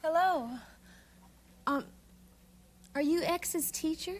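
A woman playing a gentle, welcoming lady in children's television make-believe, speaking with patient, courteous hesitance. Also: extremely high pitch, fairly even intonation, slow pace. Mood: polite, eager. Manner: patient, courteous, hesitant, repeats what she hears.

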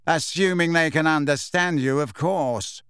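A man's voice: fairly high pitch, unhurried, a bit bright, clean tone, dry recording, clean studio sound. A man plays a sneering schoolboy bully in an audiobook. The teenager sounds malicious and mocking, needling whoever is listening.

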